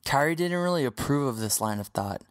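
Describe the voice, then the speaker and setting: A man's voice: mid-range voice, expressive, brisk. A man narrating a scripted story as the narrator of an audio drama.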